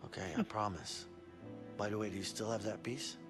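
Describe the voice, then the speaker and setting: A man playing a calm, determined, protective father on the run in a video game cutscene, speaking in a low-key, reassuring way. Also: a little fast, over music, natural voice. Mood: serious.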